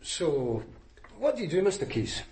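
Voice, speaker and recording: An actor playing a blunt, persistent detective, dry and probing, in a naturalistic radio drama. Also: male voice, on the high side, big pitch swings, moderate pace, a little mellow, slightly rough, heavily acted. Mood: insistent.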